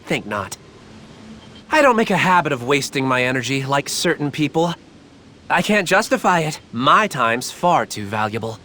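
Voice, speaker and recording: Wildly animated smug villain scientist. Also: male voice, fairly high pitch, a little hiss or hum. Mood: smug sarcasm. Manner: cocky, sarcastic, flippant. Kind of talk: animated game cutscene acting.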